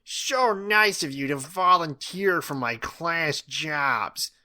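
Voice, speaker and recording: Guy doing a grumpy lady teacher, slow n growly, forceful, bossy storybook voice. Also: fairly high pitch, expressive, pitched above the performer's own voice, exaggerated voice. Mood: curt, commanding. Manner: slow, emphatic delivery. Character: stern, grumpy middle-aged woman schoolteacher, curt and menacing.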